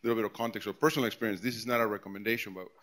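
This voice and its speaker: A man's voice: on the low side, fairly even intonation, rapid-fire, a bit bright. A man in his forties from Peru, speaking as a guest speaker, giving a conversational talk with frequent restarts and repeated words. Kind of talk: informal tech talk to employees.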